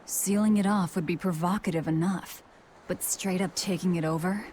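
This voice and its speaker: A woman's voice: lowish voice, fairly even intonation, normal speed, darkish tone, a little hiss or hum, close to an everyday voice. An actress playing a blunt young woman adventurer in a video game, with a dry, sarcastic delivery. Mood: skeptical. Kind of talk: video game cutscene acting.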